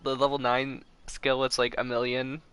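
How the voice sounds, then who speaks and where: A man's voice: mid-range voice, completely flat delivery, normal speed, a bit bright. A man chatting casually on a game livestream, with faint noise and game audio behind him. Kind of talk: casual stream chat. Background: video game audio.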